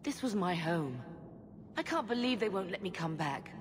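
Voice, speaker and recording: A woman's voice: medium pitch, big pitch swings, moderate pace, crowd noise, close to an everyday voice. An actress playing an anxious, grieving middle-aged noblewoman and mother in a video game, earnest and plaintive. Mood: distressed. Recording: long reverb with faint music.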